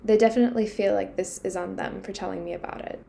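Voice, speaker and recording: A woman's voice: mid-range voice, fairly even intonation, fast, gravelly, dark tone. A woman acting an anxious, earnest submarine crew member in an amateur audio drama. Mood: worried.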